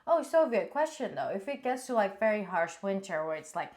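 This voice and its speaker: A woman with a mid-pitched voice in relaxed chat on a livestream. She giggles easily and reads out viewers' messages between remarks. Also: fairly quick. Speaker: co-host of a cooking stream. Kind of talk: casual chat.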